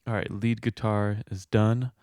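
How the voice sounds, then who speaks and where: A man in his twenties talking casually and low-key as he explains his home recording setup into a close mic. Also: level tone, unhurried, loud music behind the voice. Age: 20s. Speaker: musician and host of a home recording video. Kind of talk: casual explanatory vlog talk. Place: small home studio room, indoors. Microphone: close microphone, handheld or on a stand.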